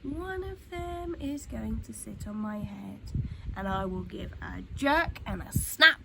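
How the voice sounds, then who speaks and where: A greedy storybook crocodile, acted out by a woman schemes slyly to himself. The delivery swings widely in pitch and mutters like a plotter. The recording has clear background noise. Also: animated, slow, heavily acted. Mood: sly, gloating.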